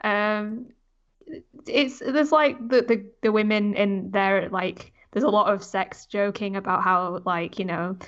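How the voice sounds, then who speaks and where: A woman's voice: medium pitch, steady tone, slow pace, fairly bright tone, dull audio. A woman chatting casually and giving her opinions as a podcast co-host. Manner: informal, opinionated. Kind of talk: casual chat.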